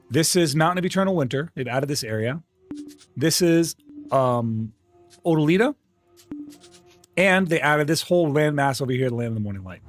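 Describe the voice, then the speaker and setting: A man streaming an online game live, chatting casually and greeting viewers by name in a friendly way. He grew up speaking Arabic at home. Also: a little high. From United States. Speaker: livestream gamer host.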